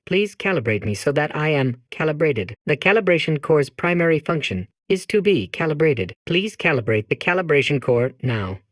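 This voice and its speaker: A man's voice: a little high, sing-song, moderate pace, hardly any pauses, a bit bright, dry recording. A man voicing a polite, gloomy and anxious robot in a comedy game, with repetitive, literal machine-like phrasing. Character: anxious, gloomy robot core dreading loss of purpose. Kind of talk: comic game character monologue.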